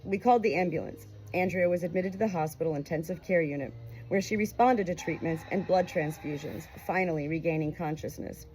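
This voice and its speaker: A woman narrating a memoir aloud in an expressive, emotive way. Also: fairly low pitch, a little fast, music in the background, low-quality recording. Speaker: audiobook reader.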